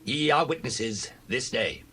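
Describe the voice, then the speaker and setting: An actor playing a kindly, dignified landowner of ancient Israel in a dramatized Bible reading, solemn and measured. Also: male voice, medium pitch, animated, bright, forceful tone, slightly rough, exaggerated voice. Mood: proclaiming.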